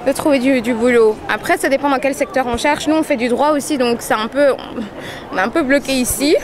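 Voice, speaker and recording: A woman from Belgium is stopped for a quick street interview outdoors. She speaks spontaneously, in a chatty way. Her voice is high. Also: a crowd in the background. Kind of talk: street vox pop.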